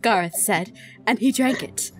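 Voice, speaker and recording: A woman's voice: medium pitch, measured pace, faint music behind. A woman hosting and narrating a comic fan fiction reading in a playful, giggly, exclaiming manner. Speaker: host and narrator. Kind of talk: reading fan fiction aloud, improvised comedy.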